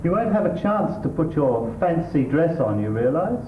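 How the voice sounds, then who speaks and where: A man's voice: a little high, sing-song, normal speed. A man acting a sardonic, exasperated middle-class English husband who lectures his wife in a stage comedy. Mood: lecturing. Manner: exasperated, pedantic, dry sarcasm. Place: theatre stage. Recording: distant, echoey, tinny stage recording, hissy.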